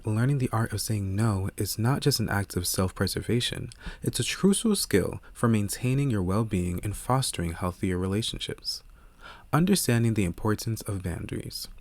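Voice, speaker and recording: A man reading scripted audiobook narration in a measured, earnest way, close to the microphone. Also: fairly low pitch, dry recording.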